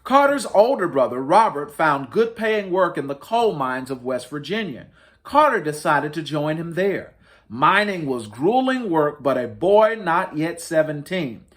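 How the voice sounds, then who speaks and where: Expressive man reading a children's storybook, lively pitch. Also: unhurried. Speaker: children's storybook reader, host. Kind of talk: expressive picture book read-aloud for families.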